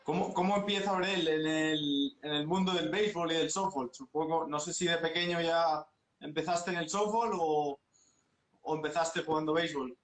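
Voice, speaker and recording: A male interviewer with a slightly high voice asks his questions in stops and starts, repeating words as he feels his way through each one. He talks as the host of a live-stream interview. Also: steady tone, moderate pace, a bit bright, low-quality recording.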